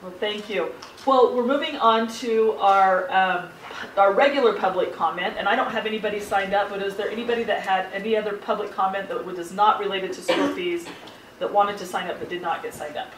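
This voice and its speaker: A woman's voice: mid-range voice, brisk. An American woman informally running a board meeting as its chair. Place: indoor public board meeting room. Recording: echoey room, distant mic, faint noise.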